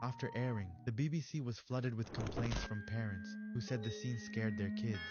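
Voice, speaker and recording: A man's voice: medium pitch, steady tone, normal speed. A man narrating a scripted story in a dramatic storytelling delivery, played from another video. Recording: dull lo-fi clip with loud music. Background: loud music.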